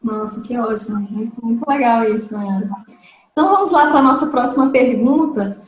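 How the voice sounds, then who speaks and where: High-voiced host in an echoey room. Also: female voice, normal speed. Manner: encouraging, affirming. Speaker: interview host and teacher. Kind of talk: interview questions. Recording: echoey room with faint noise.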